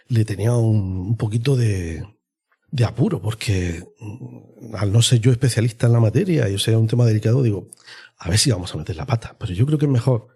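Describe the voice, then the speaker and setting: A man's voice: lowish voice, mellow, breathy edge. A man with an accent from Spain hosting a podcast in a conversational interview style. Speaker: podcast host.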